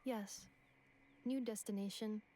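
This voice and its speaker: A woman's voice: medium pitch, fairly even intonation, slow pace, dark tone, a little hiss or hum, lightly acted. A woman voicing a polite, dutiful robot companion in a video game, speaking in clipped, formal status reports. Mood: composed, formal.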